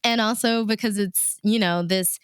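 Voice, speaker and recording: Very clear-voiced woman chatting casually. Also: mid-range voice, steady tone, moderate pace, dry recording, studio quality. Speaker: radio DJ and show host, interview guest. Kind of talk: casual interview chat.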